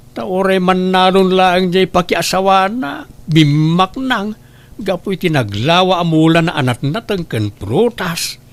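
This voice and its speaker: A man with an Ilocano accent playing a nagging, grumbling older father in a comedy drama. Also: a little high. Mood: bitter. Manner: complaining, scolding. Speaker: drama actor.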